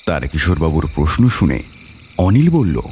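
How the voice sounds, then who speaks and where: A man's voice: rumbling low, very animated, normal speed, darkish tone, slight background noise. A man reading a scripted story as its narrator, with dramatic delivery.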